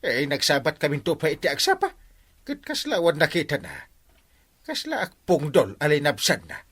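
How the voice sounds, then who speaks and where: A man's voice: fairly high pitch, huge pitch swings, moderate pace, a bit bright, slightly rough, full character voice. A man with an Ilocano accent playing an exasperated village captain and scolding uncle in a radio comedy drama, exclaiming and nagging. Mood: resigned, complaining.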